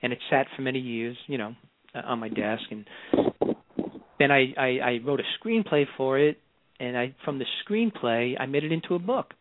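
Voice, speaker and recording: A man's voice: mid-range voice, normal speed, slight background noise. A man in his sixties from Long Island with a New York accent, a guest author telling rambling stories in a casual interview. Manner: chatty, rambling storyteller. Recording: dry, tinny phone-like line.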